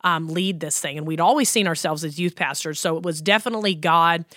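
A woman's voice: on the low side, fairly quick, a bit bright, no echo, clean studio sound. A woman in her thirties, a worship pastor hosting a podcast, chatting about her life with many ums and likes. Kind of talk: casual personal storytelling.

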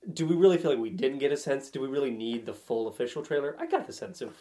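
A man's voice: medium pitch, lively intonation, fast, mellow. A man chatting casually as a podcast panelist about films, joking and slipping into mock-dramatic quotes. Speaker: podcast panelist discussing film trailers. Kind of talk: casual chat.

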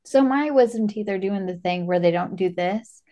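A woman's voice: medium pitch, moderate pace, warm tone, lo-fi sound. A woman co-hosting a podcast, chatting casually and comedically about movies with jokingly emphatic exclamations. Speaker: podcast co-host.

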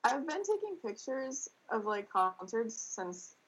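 A teenage girl from Chicago, 17, with a gravelly and husky voice. She speaks slowly and hesitantly, stopping for lots of "like"s. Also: on the high side, big pitch swings, fairly bright tone, low-quality recording. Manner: hesitant, full of "like" and "um". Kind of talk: casual self-introduction.

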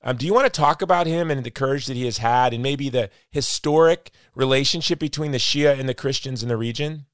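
A middle-aged man hosts a conversation in a dry, close sound. His pitch is average, and he talks reflectively, repeating phrases to drive them home. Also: a little fast, hardly any pauses, no room sound. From United States. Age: late 40s to 50s. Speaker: interview host. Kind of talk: conversational interview.

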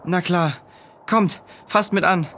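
A woman's voice: lowish voice, takes it slowly, fairly bright tone, a little hiss or hum. A woman playing a sensible, cautious older child who explains things plainly in a children's audio drama. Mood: concerned.

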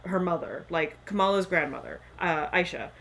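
A woman's voice: fairly low pitch, a bit bright. A young woman co-hosting a podcast, chatting eagerly about a show with many fillers. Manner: fast, enthusiastic, filler-heavy. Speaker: podcast co-host. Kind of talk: casual chat about a show.

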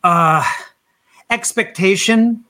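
Bright, high-pitched male voice. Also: slow. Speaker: podcast guest, band singer. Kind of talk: casual conversational interview.

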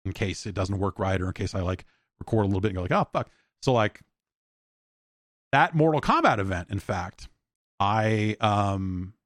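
A man's voice: lowish voice, no echo, clean studio sound. A man hosting a podcast, rambling through anecdotes in a blunt, informal, sweary way. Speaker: podcast host. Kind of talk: casual rambling solo talk with anecdotes.

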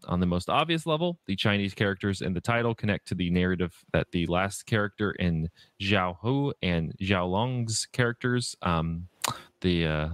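A man hosting a podcast, reading prepared film notes aloud in a halting way and stumbling over words. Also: sits low, dry recording. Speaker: podcast host.

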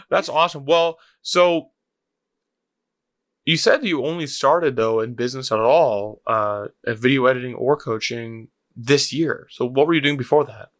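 A man's voice: medium pitch, unhurried, fairly bright tone. A man hosting a casual podcast interview, encouraging and affirming his guest. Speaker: podcast host.

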